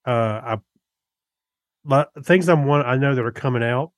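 A man co-hosting a podcast, giving casual opinions on shows in a halting, loosely rambling way. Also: medium pitch, no room sound, clean studio sound. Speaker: podcast co-host. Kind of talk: casual chat reviewing TV shows.

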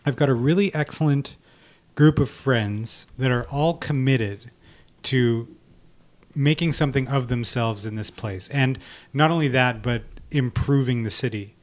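A man's voice: medium pitch, unhurried. Casual man hosting a podcast. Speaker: podcast co-host. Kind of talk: casual podcast interview chat.

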